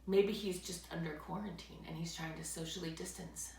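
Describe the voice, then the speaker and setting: A woman narrating a children's story aloud in an animated, dramatic way, speaking directly to her listeners. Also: on the low side, moderate pace, gravelly. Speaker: read-aloud storyteller, teacher. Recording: roomy echo, distant microphone.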